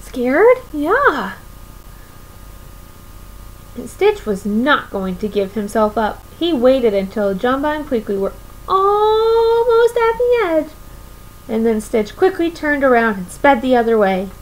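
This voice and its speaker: A woman's voice: sits high, sing-song, slow, rough recording. A young woman reading a picture book aloud to children expressively, often putting questions to her listeners. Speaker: children's story reader. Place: small room at home, indoors.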